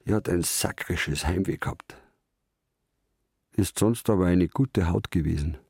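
An actor playing a gruff, taciturn old fisherman in a radio drama, speaking and narrating laconically with a Bavarian accent. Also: low-pitched, a little slow, darkish tone, no echo, clean studio sound, full character voice. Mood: musing, grumbling. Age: older. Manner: laconic, grumbling dialect speech. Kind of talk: radio drama, part narration in third person.

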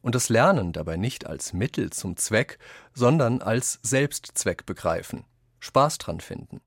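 Lower-pitched man reading quotations, dry sound. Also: expressive, a little slow, gravelly, husky, no echo. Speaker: reader of quotations in a radio essay. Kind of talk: scripted reading of quoted texts.

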